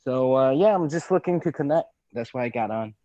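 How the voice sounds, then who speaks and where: A man from Hong Kong introducing himself as a property investor on a video call, brisk and upbeat. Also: medium pitch, lively intonation, mellow, no room sound. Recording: dull, dry video call audio.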